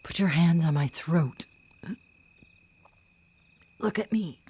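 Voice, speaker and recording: A woman's voice: fairly low pitch, slow, warm tone, slight background noise. An actress playing a seductive, taunting, menacing woman killer in a live radio drama. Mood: seductive, goading.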